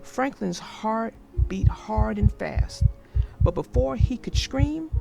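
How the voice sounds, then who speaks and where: A woman narrating a children's picture book aloud in a gentle, expressive storytelling manner. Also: fairly high pitch, slow pace, creaky, soft background music.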